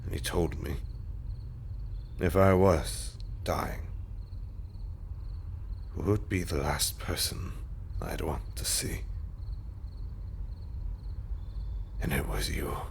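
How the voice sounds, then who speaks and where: Guy doing an undead husband back from the grave, super deep, slow n halting, anguished but tender, whispery audio roleplay, insect noise behind. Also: heavily acted. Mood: weary, awed. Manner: slow, halting, often dropping into breathy whispers. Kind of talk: intimate dramatic monologue, audio roleplay.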